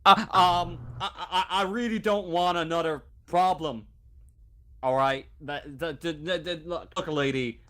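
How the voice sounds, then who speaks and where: A man's voice: fairly high pitch, fairly even intonation, measured pace, a bit bright, voice pushed up. A man voicing a flustered, grudging station official in a tabletop game, stammering and pleading for no trouble.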